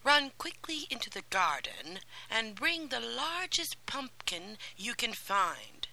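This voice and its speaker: A woman voicing a kind fairy godmother in a storybook reading, brisk and commanding. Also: on the high side, very animated, gravelly, voice pushed up, exaggerated voice, rough recording. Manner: bright, commanding, encouraging.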